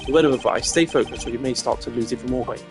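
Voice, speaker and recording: A performer voicing a composed, stern male prosecutor from a video game, speaking formally and deliberately with a cutting dryness. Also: medium pitch, over music, natural voice. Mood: dry reproach.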